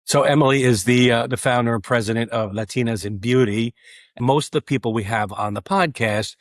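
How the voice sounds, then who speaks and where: A man hosting an interview podcast, talking in a relaxed, chatty way with lots of fillers. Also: mid-range voice, fairly quick, dry recording, studio quality. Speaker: podcast host. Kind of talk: conversational interview.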